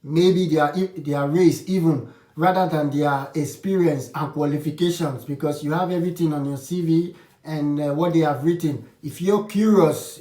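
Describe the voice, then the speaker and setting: A man's voice: fairly high pitch, dark tone. A man giving casual advice to his channel's viewers, frank and opinionated, often restarting his sentences. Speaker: vlogger. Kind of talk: casual advice talk to camera. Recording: roomy echo, distant from the mic.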